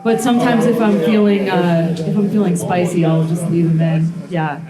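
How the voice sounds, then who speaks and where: A woman's voice: lowish voice, steady tone, moderate pace. A woman giving a live metalworking demonstration, talking casually with lots of fillers. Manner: casual, rambling, many "um" and "like" fillers. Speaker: blacksmith demonstrator. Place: demo space with a live audience. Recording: echoey room with crowd sounds.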